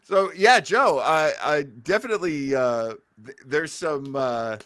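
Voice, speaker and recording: A man's voice: on the high side, unhurried, a bit bright, dry recording. A man hosting a show, chatting casually and explaining things in a jokey way. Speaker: host of an old radio show. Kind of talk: casual chat and commentary.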